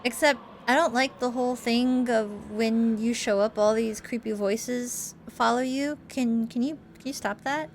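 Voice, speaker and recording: A woman doing a chatty, expressive playthrough, reading a story game aloud and commenting as she goes. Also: on the high side, unhurried, slight background noise. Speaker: gamer host reading a visual novel aloud.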